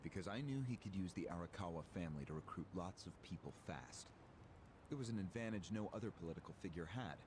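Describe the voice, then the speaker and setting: A man playing a calculating, cynical political operative in a video game, measured and smug as he explains. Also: deep voice, lively intonation, background noise. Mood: cynical, confident. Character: calculating, cynical male political operative recounting a grand scheme. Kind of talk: English video game voice acting. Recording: game audio with music behind. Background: game music.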